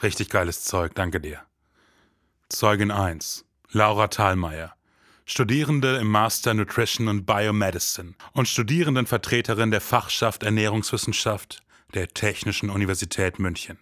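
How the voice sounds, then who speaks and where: A man playing a jaded, grumbling detective who narrates in a deadpan, disdainful noir style. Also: lowish voice, moderate pace, dry recording, studio quality, lightly acted.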